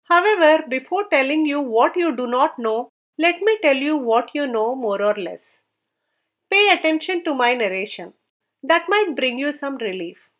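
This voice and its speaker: A woman's voice: high-pitched, animated, moderate pace, fairly bright tone, muffled recording. A woman voicing a taunting vampire spirit in a storybook tale, with formal, questioning address. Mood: probing.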